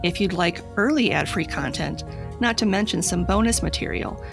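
Gravelly-voiced woman podcast host. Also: lowish voice, animated, normal speed, music in the background. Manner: reads notes aloud, says her voice is getting raspy. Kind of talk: casual podcast chat.

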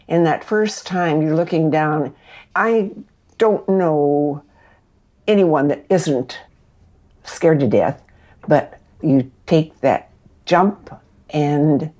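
Low, slow American woman in her eighties. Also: dark tone. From Kansas City, United States. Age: 80s. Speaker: interviewee telling own story. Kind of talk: personal storytelling.

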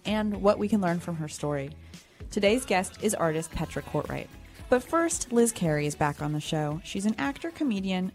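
A woman hosts a podcast and chats casually with a friend. Her voice stays fairly monotone, and her humor is dry and blunt, with frequent laughs. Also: on the low side. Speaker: podcast host.

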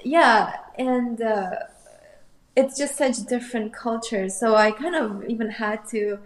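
A woman's voice: fairly high pitch, measured pace. A woman from Russia, an artist guest, musing thoughtfully and hesitantly in a casual interview. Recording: roomy echoey sound.

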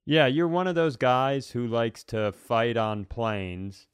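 An American man chats as a podcast co-host in a clean, resonant voice of middling pitch. The sound is dry, and he talks casually, often rephrasing as he goes. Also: expressive, slow pace, clear, resonant tone, dry recording.

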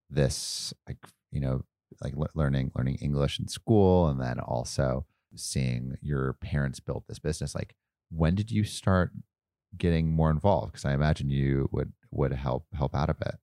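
Male host, extremely low voice, dry sound, casual questioner who meanders and restarts. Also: warm tone, dry recording. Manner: conversational, heavy use of "like", halting restarts. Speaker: podcast host. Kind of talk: casual interview.

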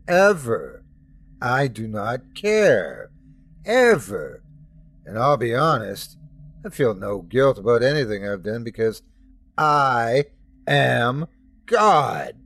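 A man voicing a wrathful, remorseless almighty male god who speaks in slow, emphatic, commanding declarations. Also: medium pitch, fairly bright tone, heavily acted.

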